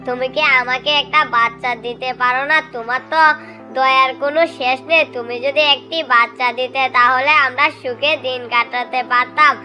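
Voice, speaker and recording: A plaintive ghost wife from a storybook cartoon, performed by a woman. Her voice is high and bright, with a beseeching, wistful manner. Faint music plays behind her. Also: fairly even intonation, normal speed. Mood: sad, pleading. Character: wistful, pleading ghost wife longing for a child. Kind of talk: amateur storybook cartoon voicing.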